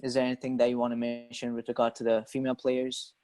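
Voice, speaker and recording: A man's voice: medium pitch, completely flat delivery, quick pace, a little mellow, faint music behind. A man hosting a casual football podcast, asking questions and teasing his guests playfully. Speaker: podcast host. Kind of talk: casual interview chat.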